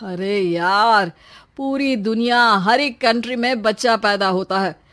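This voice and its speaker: A woman voicing a whiny, self-pitying young husband in animated story dialogue, complaining dramatically. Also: mid-range voice, slow pace. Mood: dismissive.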